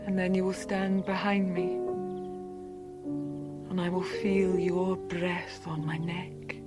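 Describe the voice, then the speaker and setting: A willful woman speaking her secret thoughts in a radio drama, over prominent piano music. She is intense and breathy, very slow and deliberate, often sinking toward a whisper. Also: mid-range voice. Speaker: actress in an audio drama. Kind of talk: radio drama inner monologue.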